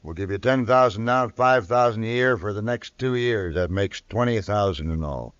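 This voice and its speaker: Low-voiced, brusque western stranger played by a man. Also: normal speed, smooth, no room sound, natural voice. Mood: confident, pushy. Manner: curt, rustic speech. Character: brusque, domineering out-of-town western stranger with money. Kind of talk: 1950s radio western acting. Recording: dull, dry old radio recording.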